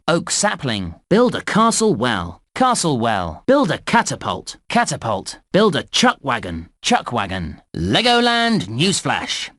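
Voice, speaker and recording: A British man voicing a cheerful, encouraging theme park guide in a children's computer game, speaking clearly and enthusiastically. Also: a little high, fairly bright tone, dry recording, studio quality, heavily acted. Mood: plain announcing, then announcer-like. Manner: enthusiastic, clearly enunciated children's presenter delivery. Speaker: game narrator and tutorial guide. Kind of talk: scripted children's game narration.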